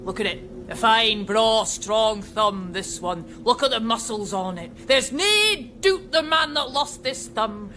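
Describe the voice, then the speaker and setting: Shifty, highish Scottish grave robber. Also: female voice, expressive, unhurried, few pauses, bright, forceful tone. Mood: wheedling. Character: shifty Scottish grave robber hawking stolen body parts. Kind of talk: radio sketch comedy acting.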